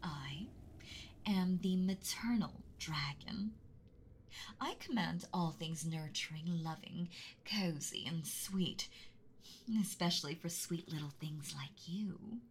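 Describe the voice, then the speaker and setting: A woman's voice: lowish voice, a little hiss or hum. A woman voicing a whispery motherly dragon. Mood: doting. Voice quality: soft breathy whisper, doting and slow. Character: gentle motherly giant female dragon. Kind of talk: whispered roleplay audio, ASMR.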